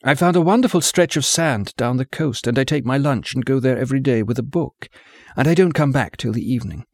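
A fragile, eager young woman with a soft, pleading manner, put on by a male audiobook reader. Her voice sits well above the reader's own low register. The recording is close and dry. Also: animated, brisk, no echo. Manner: soft, pleading, rising to tearful.

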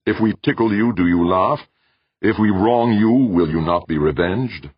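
A man's voice: fairly low pitch, moderate pace, breathy edge, dry recording, highs cut off, pitched above the performer's own voice. A man voicing a curious, awed man from Earth in an audiobook, politely questioning. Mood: probing.

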